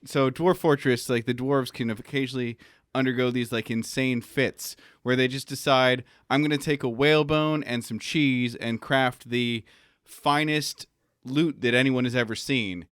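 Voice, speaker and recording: A man's voice: mid-range voice, steady tone, normal speed, fairly bright tone, clear, resonant tone, no room sound, clean studio sound. A man talking casually on a panel as a game master and writer, telling stories with asides in a self-deprecating way.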